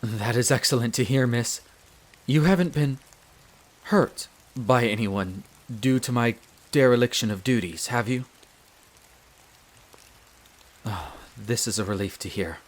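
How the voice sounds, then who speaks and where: A man playing a courteous, devoted wolf butler in a roleplay audio drama, speaking in a formal, measured and deferential way. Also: medium pitch, lively intonation, lightly acted. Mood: concerned, polite. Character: courteous, devoted male wolf butler, head servant of vampire castle.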